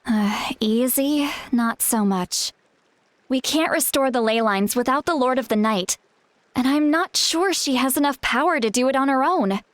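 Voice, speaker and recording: A woman's voice: high-pitched, a little slow, dry recording. A woman playing an earnest, anxious young seer in a video game, explaining things urgently with hesitant asides. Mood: grave. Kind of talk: English video game voice acting. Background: faint game music.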